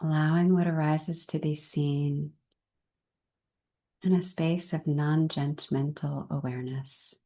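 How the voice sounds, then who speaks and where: Slow, low-voiced woman guiding meditation. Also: fairly even intonation. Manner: gentle, unhurried, measured with long pauses. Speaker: meditation guide. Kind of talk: guided meditation.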